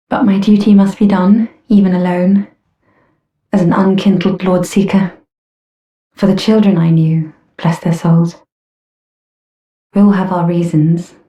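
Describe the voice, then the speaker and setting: A woman plays an earnest lady knight from a fantasy game, gentle and formal in speech. Her voice is mellow and rather low, and she takes her time over every phrase. She is polite and steadfast. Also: little pitch movement, unhurried, long pauses, lo-fi sound, natural voice. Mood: solemn. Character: courteous, earnest female knight on a grim quest. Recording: roomy echo, slightly distant.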